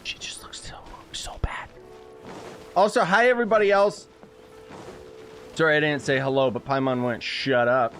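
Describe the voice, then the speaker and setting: Brief: Canadian guy streaming a game, sarcastic and excited, soft music behind. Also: mid-range voice, normal speed, long pauses. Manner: excitable, sarcastic, talks to chat. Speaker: gaming video creator. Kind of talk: casual let's-play commentary.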